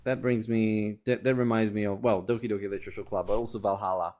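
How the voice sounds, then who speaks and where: A man hosting a podcast in a casual interview style, speaking haltingly and often repeating words as he goes. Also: fairly low pitch, fairly even intonation, a little mellow. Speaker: podcast host.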